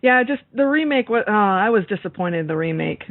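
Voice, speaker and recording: A woman's voice: mid-range voice, lively intonation, fairly quick. A woman co-hosting a podcast interview in casual chat, readily agreeing and responding to her guest. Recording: muffled phone-like call audio.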